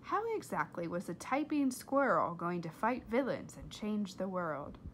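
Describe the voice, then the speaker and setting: A woman reading a story aloud in an expressive, animated and deliberate way. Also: medium pitch, a little hiss or hum, rough recording. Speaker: storybook reader. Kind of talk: expressive read-aloud narration.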